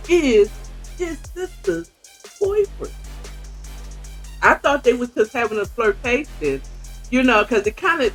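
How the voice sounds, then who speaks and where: A woman with an American accent giving casual book commentary in a conversational way, asking rhetorical questions as she retells the story. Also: mid-range voice. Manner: conversational, acts out dialogue, asks rhetorical questions. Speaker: podcast host reviewing a book.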